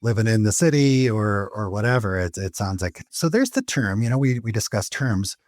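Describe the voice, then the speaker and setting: A man's voice: fairly low pitch, lively intonation, moderate pace, darkish tone, dry recording. Casual male interviewer, dry close sound. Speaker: interview host.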